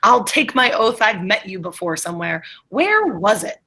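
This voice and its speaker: A woman reading the part of a brusque, impatient gentleman professor in a stage play, blunt, offhand and theatrical. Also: mid-range voice, sing-song, normal speed, husky, full character voice.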